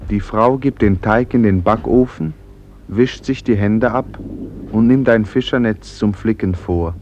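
An actor as the sober narrator of a radio play, reading scene descriptions in a measured way. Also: male voice, fairly low pitch, a little mellow, lo-fi sound, natural voice. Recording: old radio recording, clear background noise.

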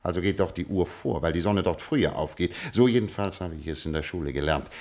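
A low-pitched gentleman gangster, a suave lord of old Scottish stock who has become a crime boss. He speaks with aristocratic understatement and dry irony, coolly menacing, in the manner of 1960s radio drama. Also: fast talker. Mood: nonchalant, ironic. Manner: aristocratic, dryly ironic, understated. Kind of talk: 1960s German radio play acting.

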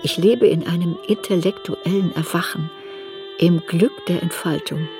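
An actress playing an older woman writer who soberly looks back on her youth in a measured, reflective radio drama narration. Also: fairly low pitch, music in the background. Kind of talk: literary radio drama narration in first person.

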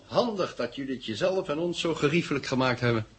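A man's voice: mid-range voice, animated, fast talker, lightly acted. An actor playing a menacing, sarcastic male gunman who gives commands in a 1970 radio play. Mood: cold menace. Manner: commanding, sardonic. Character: John, menacing, sarcastic gunman giving orders. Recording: noisy old radio drama recording.

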